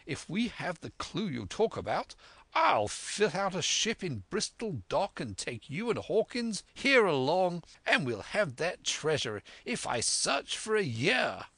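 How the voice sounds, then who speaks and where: A man's voice: high-pitched, big pitch swings, unhurried, a bit bright, slightly rough, no echo, pitched above the performer's own voice, heavily acted. A man voicing a blustering, hot-headed, pompous English squire in a storybook reading, exclamatory and emphatic. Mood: eager, bold. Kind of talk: audiobook character voice.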